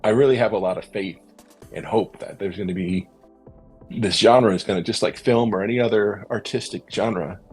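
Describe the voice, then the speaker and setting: Deep husky-voiced man, earnest. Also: normal speed, darkish tone, faint music behind. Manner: thoughtful, earnest, searching for words. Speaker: podcast host. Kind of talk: casual discussion.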